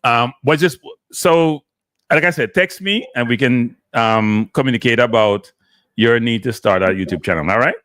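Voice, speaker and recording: A man's voice: medium pitch, moderate pace, a bit bright, dry recording. A man from Jamaica hosting a live sports talk show, steering a caller back on topic. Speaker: host.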